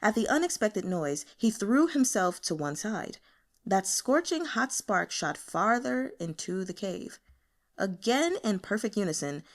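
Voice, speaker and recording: A woman narrates fiction in an expressive audiobook style. Her pitch is lively and varied as she reads. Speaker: audiobook reader.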